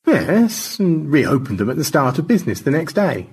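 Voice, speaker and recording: A man voicing a languid, pompous gentleman space adventurer in an audio story, with florid, airily unflappable delivery. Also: fairly high pitch, big pitch swings, normal speed, husky, voice pushed up, exaggerated voice. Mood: airy, unruffled.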